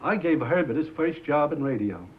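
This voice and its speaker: An actor playing a prim, earnest American small-town radio station owner, polite, literal-minded and hesitant, in a 1950s film drama. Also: male voice, on the high side, animated, moderate pace, lightly acted. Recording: dull noisy 1950s film soundtrack.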